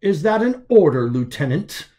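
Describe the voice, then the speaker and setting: A man's voice: fairly high pitch, sing-song, moderate pace, dark tone, voice pushed up. A man voicing a commanding male senior officer in an audiobook, speaking in a terse, authoritative way. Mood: stern, commanding.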